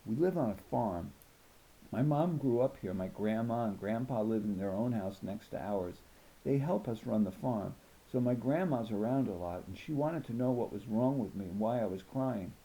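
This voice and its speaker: A man reading a children's novel aloud in an expressive storytelling style. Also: on the low side, moderate pace, rough recording. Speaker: audiobook reader.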